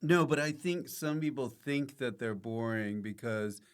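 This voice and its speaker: A man with a medium-pitched voice hosts a casual comedy podcast. He keeps things conversational, putting in brief questions and playful interjections. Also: a little slow, clean tone.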